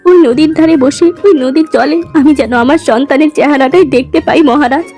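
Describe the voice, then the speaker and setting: A woman's voice: sits high, brisk, dark tone, voice pushed down. A woman voicing a sorrowful, tender queen and mother in a melodramatic fairy-tale cartoon. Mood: wistful. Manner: mournful, tender. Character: sorrowful, tender village queen longing for her lost daughter. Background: music.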